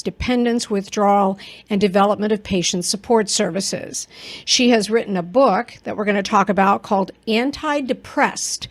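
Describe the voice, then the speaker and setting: Upbeat woman hosting a podcast. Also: medium pitch. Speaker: podcast host. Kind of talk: podcast intro and interview.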